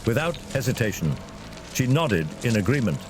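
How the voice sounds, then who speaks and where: A man's voice: medium pitch, very animated, normal speed. A man narrating a scripted fantasy adventure in a dramatic storytelling style. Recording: clear vehicle and ambience noise behind.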